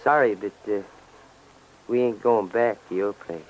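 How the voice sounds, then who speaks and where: A man's voice: fairly low pitch, unhurried, a little mellow, lightly acted. An actor playing a cocky, menacing young crook with slangy, taunting tough-guy talk in a radio crime drama. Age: young adult. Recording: noisy old radio recording.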